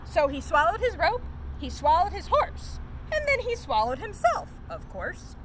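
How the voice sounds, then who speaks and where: A woman hosts story time, reading a rhyming picture book in a sing-song way. Her voice is high and swoops up and down with plenty of expression. The recording has distinct background noise. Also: very animated, moderate pace. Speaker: story time host and reader. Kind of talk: animated read-aloud of a children's picture book.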